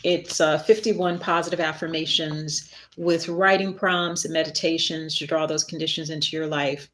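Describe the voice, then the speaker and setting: A woman's voice: sits low, steady tone, moderate pace, slight background noise. A middle-aged woman chatting casually on a live stream, rambling a little and laughing at herself as she reads from her book. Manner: rambling, chatty, self-deprecating. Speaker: author and spiritual worker hosting a live stream.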